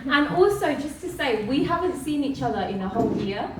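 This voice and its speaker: A woman's voice: high-pitched, expressive, fairly quick. A woman hosting a live community event, chatty and upbeat, often laughing as she talks. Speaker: event host. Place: community event hall, indoors. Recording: echoey room, distant mic, background noise.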